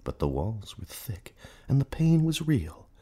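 A man performing a radio play as a merciless, taunting inner narrator, speaking in literary second person with theatrical, accusing emphasis and a husky voice. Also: lowish voice, very animated, a little slow, mellow, pitched above the performer's own voice, exaggerated voice. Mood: bitter, mocking.

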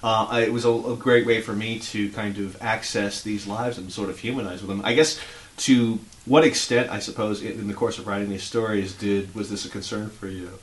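A man's voice: fairly low pitch, steady tone, brisk, few pauses. A man from California interviewing an author, hedging his questions with "I guess" and "sort of".

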